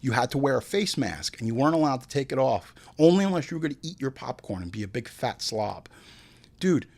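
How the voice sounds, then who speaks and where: Animated, irreverent male podcast host. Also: mid-range voice, fairly quick. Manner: crude, profane, irreverent; theatrical, acts out imagined dialogue. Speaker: host of a movie review podcast. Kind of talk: comedic film commentary mixing read facts with ranting asides.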